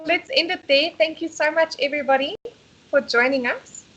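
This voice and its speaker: A woman's voice: sits high, moderate pace, a bit bright, dull audio, a little hiss or hum. A South African woman co-hosting a casual live stream, chatty and laughing often as she reads out viewer comments. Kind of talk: casual chat.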